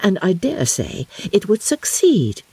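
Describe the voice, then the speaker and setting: A woman voicing a spirited, sharp-witted young gentlewoman for an audiobook, frank and assured with a wry edge. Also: medium pitch, wildly swooping pitch, a little slow, creaky, breathy edge, no echo, exaggerated voice. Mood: insistent, wry. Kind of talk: dramatic audiobook character reading.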